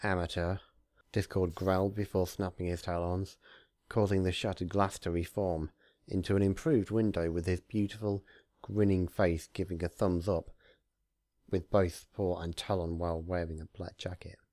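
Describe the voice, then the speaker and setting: Male narrator, low pitch, expressive scripted storytelling, dry sound without room echo. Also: normal speed. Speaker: narrator, fan fiction reader.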